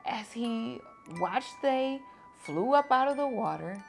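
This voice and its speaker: A woman reading a children's picture book aloud to kids in a gentle, expressive storytime manner. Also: fairly high pitch, slow pace, gravelly, soft background music. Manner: gentle, expressive, lively on exclamations. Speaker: storytime reader.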